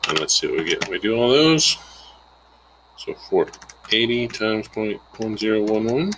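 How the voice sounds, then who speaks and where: A man's voice: fairly low pitch, very animated, normal speed, noisy background. A man giving an informal, plainspoken lesson, explaining a worked example step by step and thinking aloud as he calculates. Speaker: instructor.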